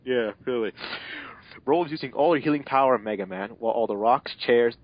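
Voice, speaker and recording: A man's voice: medium pitch, brisk. A young man giving an amateur dramatic reading of fanfiction, stumbling over the text and adding sarcastic asides. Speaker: fanfiction reader and commentator. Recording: dull, slightly muffled home recording.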